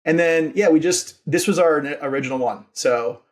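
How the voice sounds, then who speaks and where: Man, slightly higher pitch, podcast guest, casual storytelling, informal, enthusiastic, emphatic. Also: normal speed. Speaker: podcast guest, company founder and CEO.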